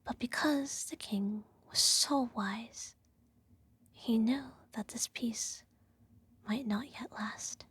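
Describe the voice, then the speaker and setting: A woman playing a regal, self-righteous evil empress who soothes her child with a whispered ASMR bedtime story in a measured, gently condescending way. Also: a little high, exaggerated voice. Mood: solemn storytelling. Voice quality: soft, breathy whisper. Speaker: ASMR roleplay performer.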